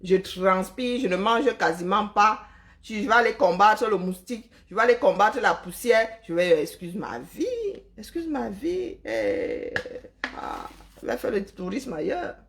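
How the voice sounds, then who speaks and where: A woman speaks straight to her live-stream viewers in a firm, lecturing monologue. Her pitch sits in the middle range, and she drives each point home with rhetorical questions and emphatic asides. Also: lively intonation, unhurried, vocal fry. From Cameroon.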